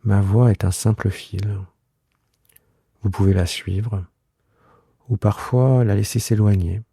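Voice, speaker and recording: Very dark, low-voiced man guiding relaxation. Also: steady tone, creaky, breathy edge, dry recording, clean studio sound. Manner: hushed, soothing, unhurried with long pauses. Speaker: yoga nidra guide and host. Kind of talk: guided relaxation meditation, improvised.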